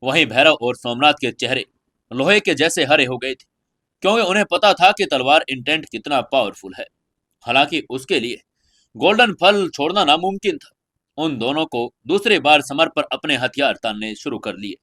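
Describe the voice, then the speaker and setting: A man's voice: fairly high pitch, animated, moderate pace, pushed and bright. A man narrating a serialized fantasy audio story as its host, in a scripted dramatic style.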